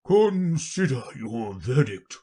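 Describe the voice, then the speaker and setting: A narrator putting on a loud, pompous, blustering king. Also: medium pitch, very animated, slow, breathy edge, voice pushed up, heavily acted. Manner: loud, emphatic, self-important. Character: pompous, blustering, muddle-headed old king.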